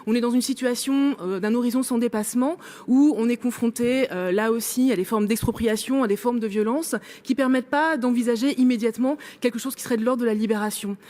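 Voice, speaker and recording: A woman's voice: fairly high pitch, brisk, few pauses, darkish tone. A woman making a long academic remark in a workshop discussion, hesitant with many fillers but building long argued sentences. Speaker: workshop participant.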